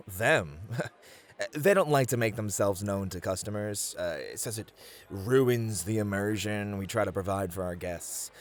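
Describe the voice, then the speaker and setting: A man's voice: lowish voice, measured pace, natural voice. A man playing a sweet, easily flustered bunny host in an ASMR roleplay, speaking politely and a little bashfully. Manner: stammering, bashful, polite, accommodating.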